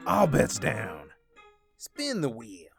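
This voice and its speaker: A woman playing a brisk male gambling joint boss calling roulette bets in a radio play with barking, sing-song patter. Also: rumbling low, wildly swooping pitch, gravelly, over music, full character voice. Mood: brisk, businesslike.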